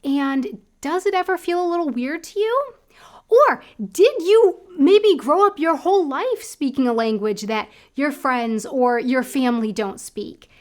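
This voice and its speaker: A woman's voice: high voice, expressive, unhurried. An American woman in her thirties talking to camera in a casual, reflective vlog, thinking aloud with lots of fillers. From United States. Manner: chatty, thinks aloud with many likes and I don't knows. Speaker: vlogger. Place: indoor room at home.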